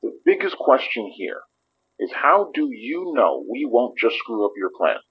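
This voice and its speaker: An actor playing a wary, skeptical investigator in an audio drama, with a husky voice and a guarded, questioning manner. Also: male voice, mid-range voice, wildly swooping pitch, a little slow, highs cut off, full character voice. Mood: wary, doubtful.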